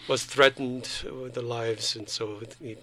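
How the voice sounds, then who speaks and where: A man from Colombia speaks as a panel guest, halting and repeating words as he gathers his thoughts. His voice is mid-pitched, with a faint noise in the background. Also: fairly even intonation, fairly bright tone. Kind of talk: radio panel discussion.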